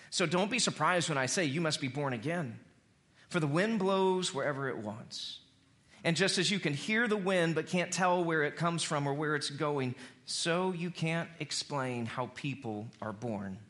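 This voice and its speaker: A man preaching a conversational sermon as an animated storyteller, repeating phrases for emphasis. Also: fairly high pitch. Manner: animated storyteller who acts out dialogue in slang.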